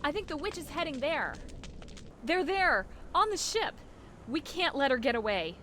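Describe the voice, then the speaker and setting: High-pitched, excitable plucky daughter. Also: female voice, moderate pace, very noisy. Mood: urgent. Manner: earnest, excitable. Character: plucky, eager young daughter, kidnapped adventurer's helper. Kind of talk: video game character acting.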